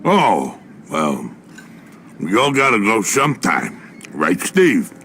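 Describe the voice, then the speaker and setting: A brash dockside boss from a video game. His voice is lowish and very animated, with a forceful edge. He is casual and bossy, used to ordering people around. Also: takes it slowly, slight background noise, full character voice. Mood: breezy, bossy. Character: brash, casual American waterfront boss with a big appetite. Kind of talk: video game cutscene acting.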